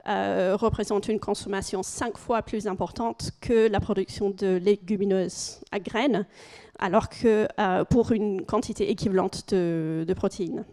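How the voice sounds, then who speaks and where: A woman with an English-speaking accent gives a conference talk from her slides. Her voice is mellow and soft-toned, at a middling pitch. She hesitates often, filling pauses and restarting phrases as she goes. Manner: hesitant, frequent fillers and restarts.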